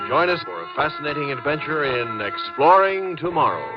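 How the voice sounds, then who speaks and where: A man's voice: a little high, sing-song, fairly quick. An American man announcing in scripted 1950s radio style. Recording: tinny 1950s radio recording. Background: music.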